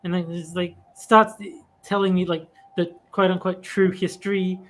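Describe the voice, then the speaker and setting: A woman's voice: lowish voice, little pitch movement, normal speed. Woman rambling through a story. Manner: rambling storytelling with many restarts. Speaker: podcast co-host. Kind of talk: casual chat.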